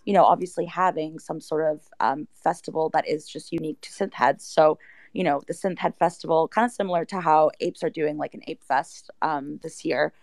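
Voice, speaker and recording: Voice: medium pitch, fairly even intonation, normal speed, no room sound. A guest in a casual radio interview, explaining things in a rambling way with lots of "you know" and "like". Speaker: guest, music NFT project team member.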